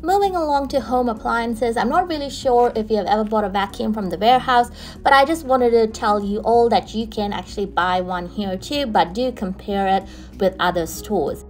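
A woman's voice: fairly high pitch, moderate pace, faint music behind. Chatty woman vlogger giving a shopping tour. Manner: chatty, upbeat, points out products and prices. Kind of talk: casual shopping tour narration. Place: in a car on the motorway.

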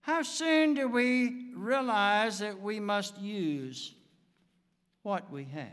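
An American man in his seventies preaching a sermon from a pulpit in a deliberate, emphatic manner with dramatic pauses. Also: high-pitched, fairly bright tone, smooth. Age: 70s. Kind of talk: sermon with anecdotes. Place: church sanctuary, speaking from the pulpit. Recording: reverberant church hall, long echo.

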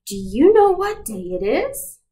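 Storybook little girl, read by a woman: affectionate, cheerful, expressive, high voice, slow clear phrasing for toddlers. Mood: gentle excitement. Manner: animated, expressive read-aloud delivery.

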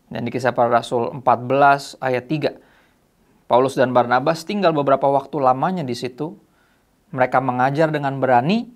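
A man in his thirties hosting a youth devotional show, explaining Bible passages in a relaxed teaching manner and reading verses aloud. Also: medium pitch, creaky. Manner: reads scripture aloud between casual remarks. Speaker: host. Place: small dark studio set, indoors.

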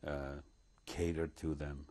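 An older man from Poland, seated in a living room with a lapel mic, recounting his memories slowly and reflectively, with many pauses and restarts. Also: a bass voice, level tone, darkish tone. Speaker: interviewee.

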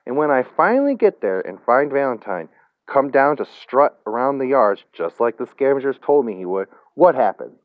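A man's voice: mid-range voice, sing-song, normal speed, no room sound, phone-quality sound, voice pushed up, close to an everyday voice. A man voicing an angry young woman in a story, curt and mocking, set on revenge. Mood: bitter, furious.

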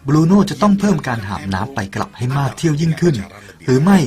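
A man's voice: a little high, big pitch swings, fast talker. A man narrating a parable in an earnest, persuasive way and speaking directly to the listener. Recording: soft music behind the voice.

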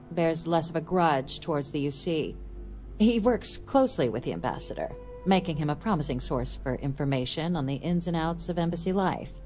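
An actress playing a composed woman diplomatic official in a game, giving a briefing in a measured, formal, dry way. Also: deep voice, dark tone. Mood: businesslike. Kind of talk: scripted game dialogue, mission briefing.

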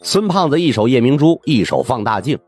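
A man narrating an audiobook in a colloquial, wry and expressive first-person storytelling style. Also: mid-range voice, fairly quick, slightly rough, faint music behind.

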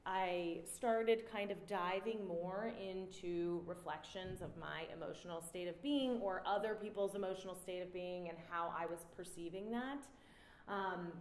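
A woman in her thirties giving an artist talk at a standing mic, relaxed and reflective, pausing often with "um". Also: on the low side. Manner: relaxed, reflective, many "um" pauses. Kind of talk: presenting her artwork with slides. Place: indoor gallery room before an audience. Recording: roomy gallery sound, faint noise.

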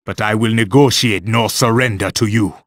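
A man's voice: on the low side, fairly even intonation, normal speed, a bit bright, no echo, studio quality. An actor playing a proud, unyielding African king who speaks formally in a video game cutscene. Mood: defiant. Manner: formal, stately.

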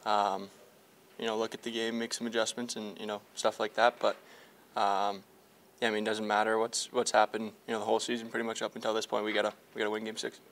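A man's voice: fairly low pitch, monotone, fast, fairly bright tone, a little hiss or hum. A young male hockey player in a locker room after a game, answering reporters with many fillers and restarts. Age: young adult. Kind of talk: post-game media scrum. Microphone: several reporters' handheld mics held close.

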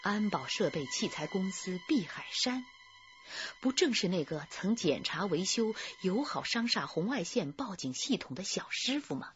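A woman's voice: medium pitch, sing-song, normal speed. A woman narrating a crime drama with dramatic, scripted delivery. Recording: slightly dull recording over music. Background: music.

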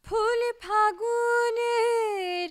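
A woman singing a slow, drawn-out song. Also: very high-pitched, steady tone, soft background music. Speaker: singer.

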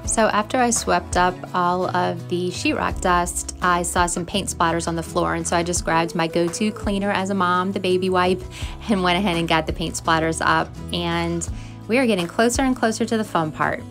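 Lower-pitched American woman narrating over music. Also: steady tone, moderate pace. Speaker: home and cleaning vlogger. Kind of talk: friendly scripted voiceover narration. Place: voice-over recorded in a closet at home. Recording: music playing under the voice. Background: background music.

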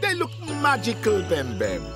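A woman narrating a preschool cartoon in an expressive storytelling style, with simple, deliberate phrasing. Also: mid-range voice, over music.

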